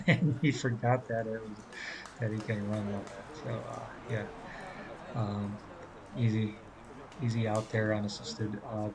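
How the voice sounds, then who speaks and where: A man who is a native English speaker, commentating an amateur baseball game in a relaxed, rambling way with many fillers, speaking as a coach. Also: fairly low pitch, creaky, rough recording. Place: ballpark. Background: crowd.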